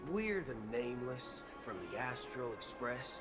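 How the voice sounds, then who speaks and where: An actor playing a brash, folksy, roguish cowboy gunslinger with a swaggering, theatrical delivery in a video game. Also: male voice, mid-range voice, lively intonation, natural voice. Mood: brash, indignant. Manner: swaggering, theatrical, drawn-out emphasis. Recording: game music behind the voice.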